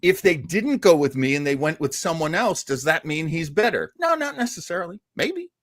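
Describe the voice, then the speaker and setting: A man's voice: fairly high pitch, very animated, normal speed, dry recording, clean studio sound. A man hosting a casual talk show discussion, emphatic and given to repeating phrases to make his point. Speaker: podcast host.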